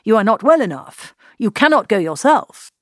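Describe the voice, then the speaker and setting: A woman voicing a courteous young gentleman, earnest and full of concern, in a storybook reading. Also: fairly high pitch, sing-song, normal speed, no echo, clean studio sound. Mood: alarmed concern. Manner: earnest, emphatic, solicitous.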